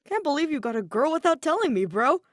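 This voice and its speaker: Woman playing a loud, excitable teenage boy. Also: fast talker, dry recording, clean studio sound. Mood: teasing. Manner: booming, excitable, chummy. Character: loud, hearty teenage boy, teasing best friend.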